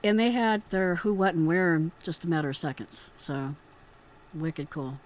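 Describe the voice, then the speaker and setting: A woman's voice: fairly low pitch, expressive, moderate pace. A woman talks through a recording as host. She is conversational and explanatory, openly admiring as she comments. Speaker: host, retired emergency dispatcher. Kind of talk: informal commentary on a recorded call.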